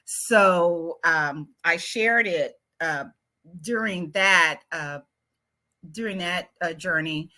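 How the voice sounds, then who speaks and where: A woman's voice: on the low side, takes it slowly, sharp tone. A woman writer answering interview questions, reflective and a bit self-doubting, with frequent fillers and light laughs. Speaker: award-winning writer, guest.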